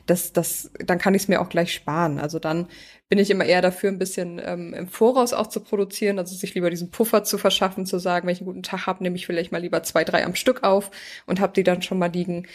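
A woman hosts a podcast in a relaxed, chatty way. She talks with a slightly low pitch and leans on casual fillers as she frames her questions. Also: fast talker. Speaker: podcast host. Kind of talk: podcast interview.

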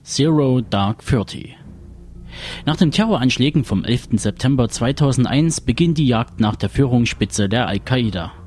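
Guy announcer reading out a scripted film summary, average pitch. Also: animated, moderate pace, darkish tone, faint music behind. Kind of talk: scripted narration of a film synopsis.

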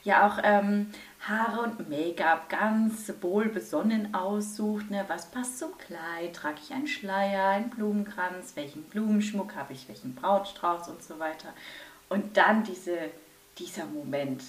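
A woman's voice: medium pitch, measured pace, far from the mic. A woman hosting a wedding podcast in casual chat, gushing and laughing often as she asks her guest questions. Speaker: podcast host and wedding speaker. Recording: roomy echo with faint music.

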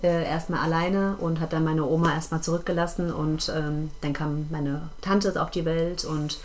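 A woman's voice: low voice, flat delivery, moderate pace. A woman in her thirties from Frankfurt, a guest telling her life story in casual chat, with many fillers and laughs. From Frankfurt, Germany. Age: 30s.

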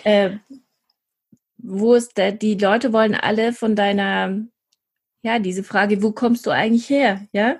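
A woman's voice: mid-range voice, steady tone, unhurried, breathy edge. A woman co-hosting a podcast, chatting casually about books and hesitating often with fillers and restarts. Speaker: podcast co-host. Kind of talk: casual book chat.

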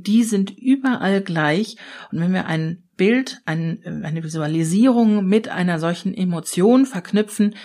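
A middle-aged German woman hosting a solo podcast, explaining ideas in a lively coaching manner and speaking straight to the listener. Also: mid-range voice. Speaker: podcast host and change consultant.

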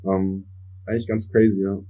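Laid-back young man, mellow low voice. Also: moderate pace. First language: English. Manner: relaxed, mixes English words into German. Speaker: musician guest. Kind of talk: casual interview answers.

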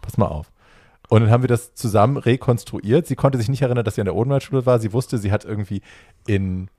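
A young adult man telling a personal story in casual chat, acting out the dialogue with lively energy. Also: fairly low pitch, fast, dry recording, studio quality.

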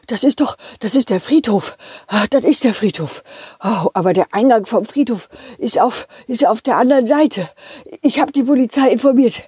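A woman's voice: a little high, moderate pace, a little mellow, slightly rough, no echo, studio quality, pitched above the performer's own voice. A woman playing a flustered, panicky museum guard in a children's audio story, stammering and repeating words in alarm. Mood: breathless alarm.

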